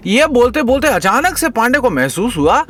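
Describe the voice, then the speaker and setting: A man's voice: very high-pitched, sing-song, moderate pace, fairly bright tone, faint music behind. A man narrating a comedy story as a storyteller, in a dramatic scripted style.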